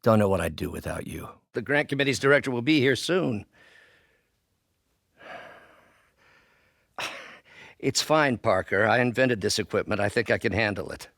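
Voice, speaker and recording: An actor playing a brilliant, bitter middle-aged scientist turned villain in a video game, with precise, articulate, grandiose delivery. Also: male voice, fairly low pitch, animated, brisk, gravelly, a bit bright, dry recording, clean studio sound, heavily acted. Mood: earnest, brisk. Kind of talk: dramatic game character voice lines.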